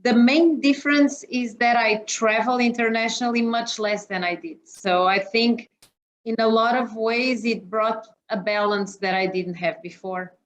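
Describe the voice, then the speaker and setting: A woman's voice: on the high side, steady tone, unhurried. A woman with a Portuguese accent, a guest talking casually from her home office on a video call, hesitant with many fillers. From Mozambique. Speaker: interview guest, operations executive. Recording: muffled lo-fi video call audio.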